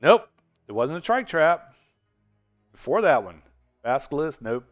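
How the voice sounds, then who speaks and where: A man's voice: fairly high pitch, sing-song, unhurried, dry recording, soft background music. Chatty man in his sixties, lo-fi stream. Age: 60s. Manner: rambling, chatty, laughs often between thoughts. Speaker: gaming live streamer. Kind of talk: casual live-stream chat answering viewers. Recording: dull lo-fi stream audio.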